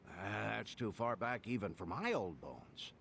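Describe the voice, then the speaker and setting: A man's voice: fairly low pitch, very animated, normal speed, fairly bright tone, slight background noise. An actor playing a genial, easygoing older local man in an English video game dub, rambling in a folksy, amused way. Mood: candid.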